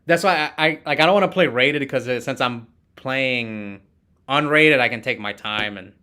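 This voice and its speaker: A man streams an online card game with a bright, crisp-edged voice. He thinks aloud about his moves in a casual way, restarting phrases and chatting to the people watching. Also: medium pitch, moderate pace. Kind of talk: casual live game commentary, chatting with viewers.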